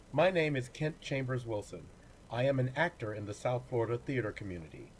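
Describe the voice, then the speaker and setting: A man, an actor, reading poems aloud in a dramatic, deliberate way with pauses between phrases. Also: medium pitch, darkish tone, a little hiss or hum, low-quality recording. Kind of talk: dramatic poetry recitation.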